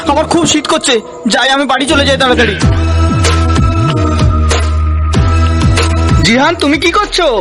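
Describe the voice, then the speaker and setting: A performer voicing a brisk, puzzled grown-up in a funny children's cartoon. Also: high voice, close to an everyday voice. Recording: loud music over the voice.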